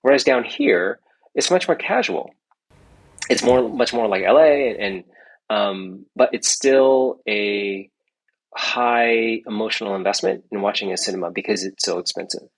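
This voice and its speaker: A man's voice: lowish voice, lively intonation, unhurried, vocal fry, low-quality recording. A middle-aged man, an entrepreneur guest in a casual interview, rambling with many restarts and tag questions. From United States.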